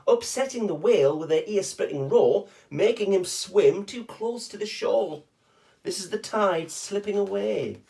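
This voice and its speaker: Male storybook reader, fairly high voice, very lively intonation, chatty with the kids. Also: gravelly. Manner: animated, with short chatty asides to young listeners. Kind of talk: expressive read-aloud of a children's rhyming picture book.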